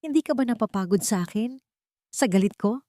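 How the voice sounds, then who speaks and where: A woman's voice: on the high side, expressive, brisk, warm tone, dry recording, clean studio sound, pitched above the performer's own voice, lightly acted. A woman voicing a weary, bitter young man in a romantic audio story. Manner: tired.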